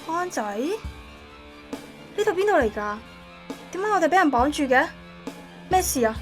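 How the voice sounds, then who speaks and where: Woman acting anxious young girlfriend, high pitch, Hong Kong Cantonese, suspicious, radio drama, prominent music behind. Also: big pitch swings, measured pace. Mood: frightened, suspicious. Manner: anxious, questioning.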